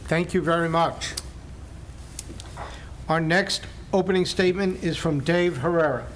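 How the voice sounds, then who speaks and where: Man, moderator, high voice, brisk polite handoffs, off mic. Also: a little hiss or hum. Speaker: debate moderator. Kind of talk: brief introductions.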